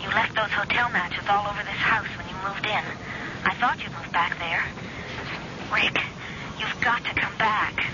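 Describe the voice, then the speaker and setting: A woman's voice: mid-range voice, moderate pace, close to an everyday voice. A woman acting in an old-time radio drama as an anxious, insistent woman, pleading and worried. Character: anxious, insistent woman fearing for a stubborn man's life. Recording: muffled, noisy old radio recording.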